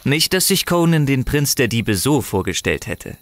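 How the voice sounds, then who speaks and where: A man's voice: mid-range voice, big pitch swings, moderate pace, fairly bright tone. A man narrating a fantasy audio drama in a dramatic, scripted storytelling manner.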